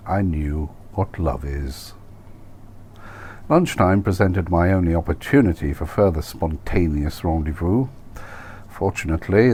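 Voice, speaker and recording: Male author-narrator, very low and soft-edged, wry mannered audiobook read with noticeable background noise. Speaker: author reading his own novel. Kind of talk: scripted audiobook narration, wry first-person. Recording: clear steady background noise.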